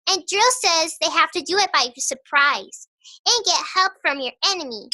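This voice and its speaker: A woman playing an excited, impatient, secretive little girl in an audio drama. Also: very high-pitched, moderate pace, full character voice. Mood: earnest, secretive.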